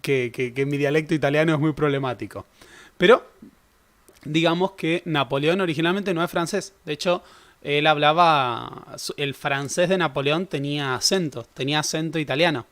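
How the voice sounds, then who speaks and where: A young man teaches history in a relaxed, chatty way. His voice sits somewhat high for a man. He often stops to correct himself and drops in jokes as he goes. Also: moderate pace. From Argentina. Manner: conversational, self-correcting with joking asides. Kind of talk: casual history lecture.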